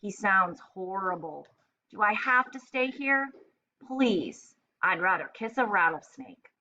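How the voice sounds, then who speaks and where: A woman voicing a grumpy, sarcastic, indignant pet parrot in a children's storybook diary, with deadpan, exasperated complaining. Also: medium pitch, takes it slowly, highs cut off. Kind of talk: children's storybook read-aloud in diary form.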